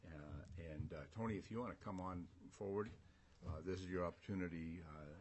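An American man chairing a government committee meeting, speaking informally in a halting, folksy and courteous way. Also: low voice, a little mellow. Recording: noticeable background room noise.

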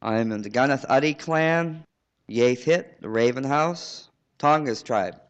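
A man from southeast Alaska giving earnest, halting testimony for his tribe at a public hearing. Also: mid-range voice, smooth. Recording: dull lo-fi hearing recording.

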